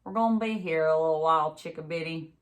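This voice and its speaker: A man's voice: a little high, quick pace, lightly acted. A woman voicing a stubborn, devoted old grandfather in a folksy, insistent way, as in a storybook read aloud. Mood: tender. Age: older.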